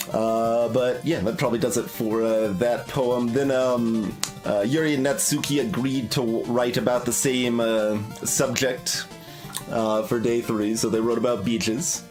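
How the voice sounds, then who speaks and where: A man giving casual, analytical game commentary, thinking aloud in a hesitant way. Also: mid-range voice. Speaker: video game streamer. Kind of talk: casual analytical live playthrough commentary with poem read aloud. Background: music.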